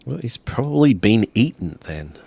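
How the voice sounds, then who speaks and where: A man improvising in a casual tabletop role-playing game, speaking as his character and narrating in a weary, halting way. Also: mid-range voice, big pitch swings, gravelly, darkish tone, husky, no echo.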